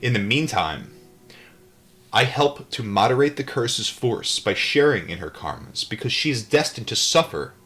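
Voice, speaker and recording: A man's voice: mid-range voice, sing-song, moderate pace, a bit bright, soft background music. A man hosting a live read-aloud, moving between reading a book and casual, irreverent, rambling asides. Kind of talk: audiobook-style reading with casual off-the-cuff asides.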